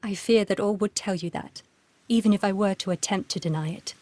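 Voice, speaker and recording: A woman's voice: mid-range voice, a little fast, noisy background, natural voice. A woman voicing an unhappy aristocratic Victorian widow with a formal, refined, plaintive manner in a video game. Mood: formal, sorrowful. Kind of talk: video game cutscene acting.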